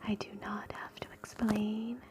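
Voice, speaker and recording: A woman whispering slow, gentle affirmations for ASMR at home, close to a sensitive mic. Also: medium pitch, little pitch movement. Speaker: ASMR host. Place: home, indoors.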